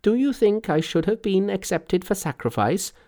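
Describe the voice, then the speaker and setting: A man acts out a cheeky monkey hero from a storybook, pitched well up and swooping with lively inflection. He is brash and bantering, fearless and very sure of himself. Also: normal speed. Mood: playful. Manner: brash, bantering, self-assured. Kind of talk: storybook character voice.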